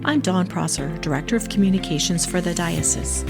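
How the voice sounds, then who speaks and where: A woman's voice: lowish voice, fairly quick, creaky, music in the background. A woman hosting a podcast in an upbeat, welcoming way, with a few slips. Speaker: podcast host.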